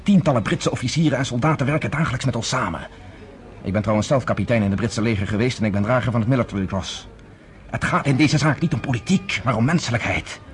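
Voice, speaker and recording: An actor playing a confident, courteous underground leader in a radio play, speaking in a measured, formal way. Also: male voice, medium pitch, natural voice. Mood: persuasive. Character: confident, persuasive underground leader, former army captain, courteous.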